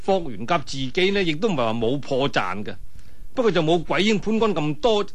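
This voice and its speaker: A man's voice: on the high side, big pitch swings, a little fast. A man with a Cantonese accent telling a martial-arts tale in a dramatic, colloquial traditional storytelling style. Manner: dramatic, colloquial, with spoken sound effects and interjections.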